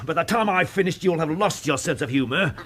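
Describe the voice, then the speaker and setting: An actor playing a brutal, menacing police interrogator with a British accent, curt and commanding, in a radio drama. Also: male voice, fairly high pitch, expressive, brisk, fairly bright tone, a little hiss or hum.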